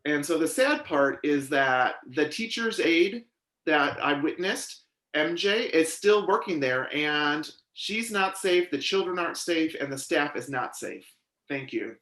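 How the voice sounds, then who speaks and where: A man interprets a witness's testimony aloud in the first person, keeping a measured, even delivery. His voice sits a little high for a man. The hearing room adds a noticeable echo. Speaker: sign language interpreter voicing a deaf witness.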